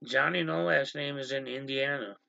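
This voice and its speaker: A man with a strongly gravelly, fried voice and a bright, forceful edge talks casually to his livestream audience, exasperated and animated. He sits close to a dry mic, and the stream audio sounds a little dull and lo-fi. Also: medium pitch, no echo. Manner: animated, exasperated, quick asides to the stream chat. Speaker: livestream host.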